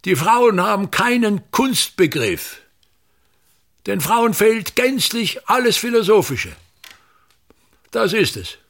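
An actor playing a pompous, tyrannical older theatre manager in a radio drama, declaiming scornfully in a gravelly, husky voice. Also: male voice, sits high, big pitch swings, takes it slowly, long pauses, a bit bright, heavily acted. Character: pompous, tyrannical ageing male theatre actor-manager berating his son.

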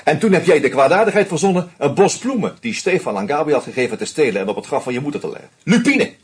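A calm sarcastic detective, real husky voice, old radio play. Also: male voice, a little high, fast talker, exaggerated voice. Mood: probing. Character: shrewd male investigator, calm, sardonic, adult. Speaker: detective confronting a killer. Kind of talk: radio drama acting.

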